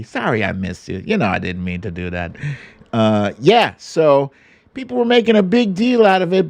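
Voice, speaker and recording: A man's voice: on the low side, vocal fry, a little mellow, dry recording. A man hosting a livestream in a casual, chatty style, with a playful sing-song way of talking. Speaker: livestream host. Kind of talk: casual livestream chat, reading out viewer greetings.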